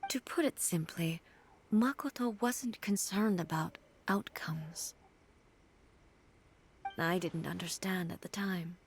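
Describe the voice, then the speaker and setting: A woman playing a dignified female ruler in a video game, speaking in a measured, formal and reflective way with a breathy voice. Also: on the low side, slight background noise. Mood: solemn, reflective. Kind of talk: scripted video game dialogue.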